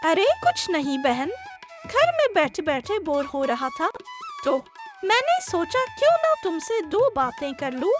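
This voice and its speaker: Very high, lively woman as a scheming neighbour. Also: a little slow, music in the background, exaggerated voice. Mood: chatty. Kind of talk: acted cartoon storytelling.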